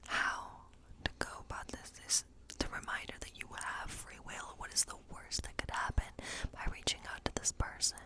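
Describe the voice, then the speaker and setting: A woman whispering softly and breathily in an ASMR role play as a friendly witch, reading tarot in an informal, encouraging, rambling way. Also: low-quality recording.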